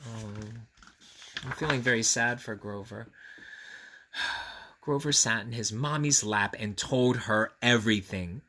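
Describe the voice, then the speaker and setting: A man reading a storybook aloud to children, expressive and earnest, often speaking directly to his young listeners. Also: mid-range voice, a little slow, pauses often.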